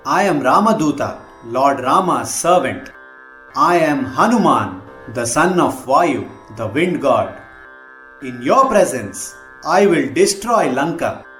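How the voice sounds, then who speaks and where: A man's voice: mid-range voice, very animated, slow, a bit bright. A man playing a mighty divine monkey warrior, proudly proclaiming in a solemn, declamatory way for a dramatized devotional audiobook. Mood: proud, defiant. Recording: echoing voice over Indian classical music. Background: Carnatic instrumental music.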